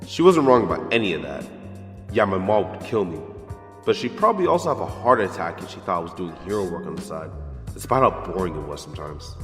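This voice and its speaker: Man playing a sarcastic, animated teenage boy hero. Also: low voice, quick pace, soft background music. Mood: musing. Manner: sarcastic, flustered, rambling excuses. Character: fifteen-year-old boy, slacking high-school student and secret hero. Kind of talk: audio drama acting with inner monologue.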